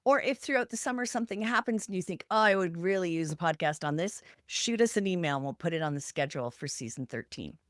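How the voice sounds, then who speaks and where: Female podcast host, lowish pitch, sincere and encouraging, deliberate phrasing, very dry sound. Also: expressive, a bit bright, no room sound. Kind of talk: conversational podcast wrap-up, reflective advice.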